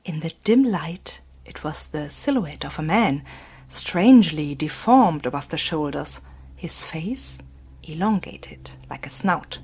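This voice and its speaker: A woman narrating a fantasy audiobook in a soft, breathy, hushed voice. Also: sits low, lively intonation, takes it slowly. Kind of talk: scripted narration. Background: faint music.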